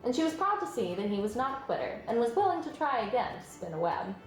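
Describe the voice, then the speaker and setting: A woman's voice: fairly high pitch, very animated, quick pace. A woman reading a children's story aloud as scripted narration. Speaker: storybook reader. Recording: roomy sound, music behind. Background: music.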